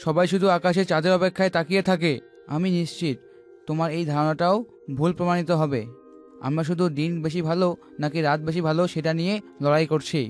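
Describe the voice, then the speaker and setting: A high male voice from an actor plays a proud, reflective celestial spirit in an animated folk tale. Music runs behind the speech. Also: quick pace, natural voice. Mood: humbled, reasoning. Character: sun and moon as proud, reflective rival spirits.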